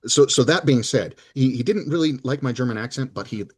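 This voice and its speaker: A man who narrates audiobooks, chatting casually in an interview and acting out quoted lines with lively jumps in his voice. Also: fairly quick, husky. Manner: animated storyteller, acts out quoted lines with big pitch jumps.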